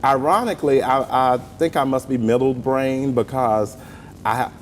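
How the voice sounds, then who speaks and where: A middle-aged man with a Southern US accent, chatting earnestly and with some joking as a guest in a casual interview. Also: normal speed. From southern United States, rural. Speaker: interview guest, stage actor and comedian. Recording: long reverberant hall, faint rumbling noise.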